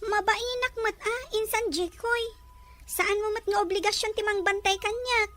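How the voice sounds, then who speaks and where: A woman's voice: very high-pitched, fairly even intonation, moderate pace, fairly bright tone. A woman playing a timid, plaintive schoolgirl granddaughter in an Ilocano drama, childlike and pleading. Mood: timid, tearful. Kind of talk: Ilocano radio drama acting.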